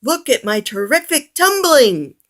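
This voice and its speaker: A woman voicing a mischievous young boy clown in a storybook, slow and small when apologizing. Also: on the high side, expressive, sharp tone, pitched above the performer's own voice, heavily acted. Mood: boastful. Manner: boastful when showing off, slow and small when sorry.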